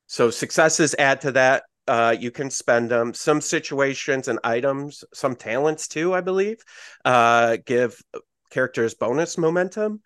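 A man running a tabletop game, walking the players through the rules in a loose, rambling way that keeps correcting itself. His voice sits at an ordinary middle pitch. It is recorded close and dry, with no room sound around it. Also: unhurried, fairly bright tone, clear, resonant tone, dry recording. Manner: loose, self-correcting explanation with many fillers. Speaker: game master. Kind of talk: explaining game rules on a podcast.

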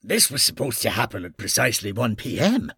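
Narrator acting senior policeman, stern, gruff, irritable, officious, audiobook villain, dry sound. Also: mid-range voice, very animated, a little fast, fairly bright tone, no room sound, heavily acted. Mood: officious, suspicious.

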